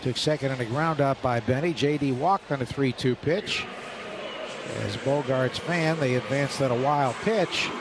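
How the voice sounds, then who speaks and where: A man calling play-by-play baseball on radio, brisk and detailed, rising with the action. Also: medium pitch. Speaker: play-by-play announcer. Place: ballpark broadcast booth. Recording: radio broadcast with background noise.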